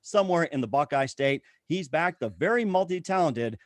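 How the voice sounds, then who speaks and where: A man's voice: fairly high pitch, expressive, normal speed, no room sound. A man hosting a talk show, reading plugs and introductions in an announcer-like way with stock phrases. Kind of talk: promotional show host talk, ad reads and guest introduction.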